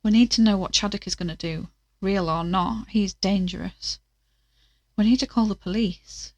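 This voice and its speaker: Audio drama: worried, earnest female amateur sleuth, played by a woman, mid-pitched, hesitant, dry recording. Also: steady tone, moderate pace, a little mellow, no room sound, close to an everyday voice. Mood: urgent, worried.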